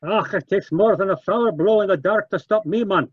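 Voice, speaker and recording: An actor playing a stubborn, indignant Scottish farm keeper in an old-time radio drama, speaking urgently and emphatically. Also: male voice, sits high, moderate pace, slightly rough, dry recording, muffled recording. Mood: defiant.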